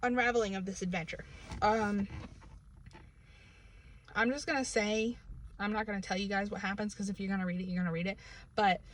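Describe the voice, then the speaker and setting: A woman's voice: mid-range voice, fairly even intonation, brisk, long pauses, a little hiss or hum. A woman from New England with a local accent, casually reviewing a book from inside her car, rambling with lots of fillers. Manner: rambling, filler-heavy, many "like" and "um". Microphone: camera in the car.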